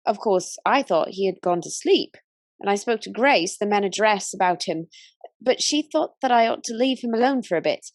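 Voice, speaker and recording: A woman's voice: mid-range voice, expressive, normal speed, dry recording, studio quality. A woman playing an earnest, chatty young Edwardian waitress in a radio play, telling things in careful detail. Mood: earnest recounting.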